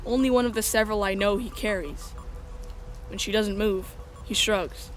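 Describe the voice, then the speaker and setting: A woman's voice: mid-range voice, steady tone, normal speed, faint music behind. A woman reading scripted first-person story narration as the narrator of a drama.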